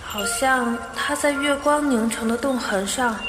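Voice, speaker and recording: Woman voicing an innocent little boy prince, high-pitched. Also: unhurried, noisy background, natural voice. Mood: wondering, dreamy. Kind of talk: Chinese audio drama acting.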